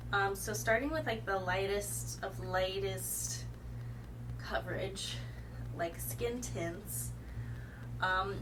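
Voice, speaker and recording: A woman's voice: medium pitch, noisy background. Congested woman reviewing makeup, distant mic. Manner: chatty, rambling, self-correcting. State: congested from a cold. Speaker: beauty vlogger. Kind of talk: casual product review to camera.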